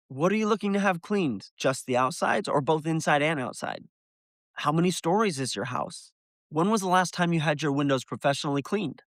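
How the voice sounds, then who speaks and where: Higher-pitched man giving a tutorial. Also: a bit bright, no room sound. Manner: explanatory, addresses the listener directly. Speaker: window cleaner presenting a business tutorial. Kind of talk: instructional video narration to viewers.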